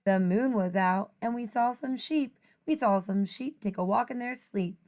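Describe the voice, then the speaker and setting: A woman teacher reading a children's rhyming picture book aloud in an expressive, deliberate, singsong way. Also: medium pitch.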